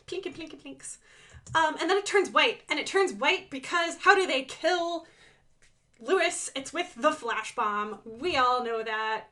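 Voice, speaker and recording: A woman's voice: high voice, fairly bright tone. A woman giving casual, enthusiastic commentary on her own animation, playful, with sing-song asides and dramatic emphasis.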